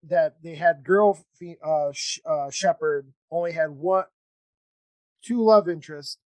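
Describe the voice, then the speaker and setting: A man hosting a casual interview chat, talking in a halting, rambling way with false starts. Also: a little high, darkish tone, no room sound. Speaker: podcast host.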